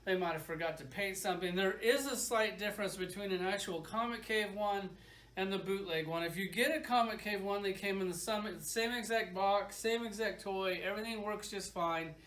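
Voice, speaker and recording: A male reviewer with a noticeably high-pitched voice. He talks casually and informally, like a hobbyist giving his opinion on a product. His delivery rambles along and is frankly opinionated. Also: moderate pace, fairly bright tone. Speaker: toy reviewer.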